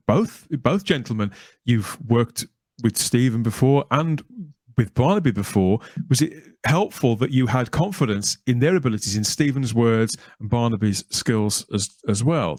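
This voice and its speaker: A man's voice: mid-range voice, darkish tone, dry recording. Conversational male podcast host, dry close sound. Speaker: podcast host interviewing guests. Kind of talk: conversational interview.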